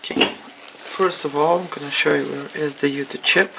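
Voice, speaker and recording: Low, flat-voiced Ukrainian-accented woman. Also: vocal fry, heavy background noise. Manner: halting, repetitive phrasing in non-native English. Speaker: phone repair technician presenting a tutorial. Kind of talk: unscripted hands-on repair tutorial.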